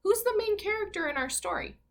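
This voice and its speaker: A woman teacher leading an interactive read-aloud for young children, asking questions and reading with animated emphasis. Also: very high-pitched, gravelly. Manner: asks questions and pauses as if hearing answers. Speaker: elementary school teacher.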